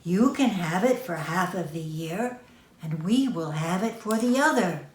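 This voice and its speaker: A clever, proud coyote from a children's storybook, voiced by a woman. He speaks slowly and emphatically, weighing each phrase. The room adds a noticeable echo. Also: lowish voice, animated, a little hiss or hum. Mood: reasoning. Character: proud male coyote, clever peacemaking trickster. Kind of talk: storybook read-aloud. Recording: roomy home echo.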